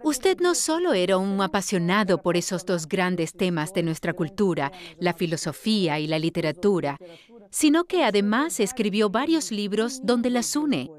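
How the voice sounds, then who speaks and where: A woman's voice: medium pitch, animated, normal speed, natural voice. A woman voice actor dubbing an attentive, literate interviewer who asks and sums up in an orderly, articulate way. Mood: attentive, explanatory. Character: attentive, literate interviewer summing up a guest's life. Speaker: dubbed interviewer. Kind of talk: scripted documentary interview dub.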